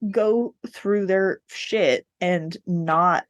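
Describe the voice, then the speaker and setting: Female podcast co-host, slightly low voice, dry recording, conversational and opinionated. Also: drawn-out words, dry recording. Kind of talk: casual book discussion.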